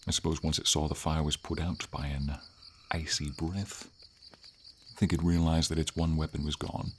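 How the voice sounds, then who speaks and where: A man voicing a friendly, noble silver dragon in an ASMR fantasy roleplay, speaking in an unhurried, thoughtful and slightly formal way with pauses. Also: rumbling low, little pitch movement, slight background noise. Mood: calm, matter-of-fact. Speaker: voice actor. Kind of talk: ASMR fantasy roleplay, slow intimate storytelling. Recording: ambient nature sounds, crickets, light rain.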